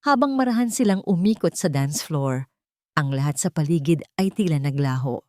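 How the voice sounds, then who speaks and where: A woman with a Filipino accent narrates a scripted dramatic love story in a measured, expressive way. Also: sits low, no echo, clean studio sound. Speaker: narrator of romance stories.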